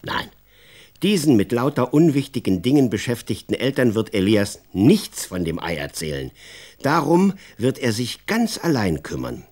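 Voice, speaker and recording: A man playing an expressive storyteller in a children's radio drama, narrating in a deliberate, dramatic way. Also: mid-range voice. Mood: wry, deliberate. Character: expressive male storyteller who also talks with the boy.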